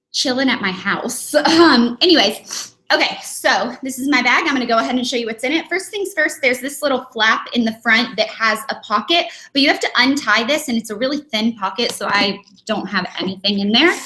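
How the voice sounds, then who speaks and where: Bright, chatty young woman vlogger. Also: fairly high pitch, low-quality recording. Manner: chatty, rambling, many fillers. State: sniffly from a cold. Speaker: beauty vlogger. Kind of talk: casual live-stream chat. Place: dining room at home.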